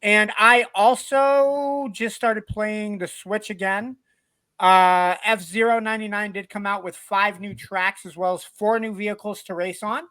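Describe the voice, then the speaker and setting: Bright high-voiced male podcaster. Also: steady tone, measured pace, clear, resonant tone, no echo. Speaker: podcast co-host. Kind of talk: casual chat.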